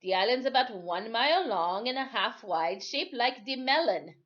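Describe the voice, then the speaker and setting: A woman voicing a calm, patient older island sailor man in a storybook reading, with drawn-out, folksy phrasing. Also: on the high side, lo-fi sound, pitched above the performer's own voice, lightly acted. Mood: matter-of-fact. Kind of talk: storybook read-aloud.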